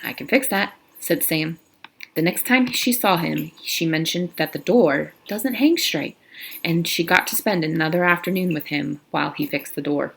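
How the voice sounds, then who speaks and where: Gravelly low-voiced woman reading aloud. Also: moderate pace, a bit bright, poor audio. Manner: casual, with slips and restarts. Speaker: reader.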